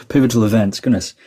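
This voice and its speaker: A man from the Shetland Islands, a meditation teacher telling his life story as a podcast guest, thoughtful and hesitant, with many pauses and fillers. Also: lowish voice, mellow, slightly rough. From Scotland, Shetland Islands. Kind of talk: reflective interview storytelling.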